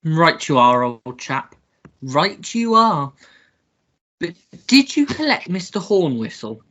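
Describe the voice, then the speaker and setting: A woman playing a foppish, childish upper-class English gentleman in a comic melodrama radio play, pompous and theatrical. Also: low-pitched, sing-song, slow, dull audio, heavily acted. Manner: pompous, theatrical, babyish gushing.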